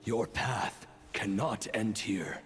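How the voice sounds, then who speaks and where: A man voicing a solemn, mysterious guide in a video game, portentous and measured, urging a hero onward. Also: lowish voice, in a large hall, slight background noise.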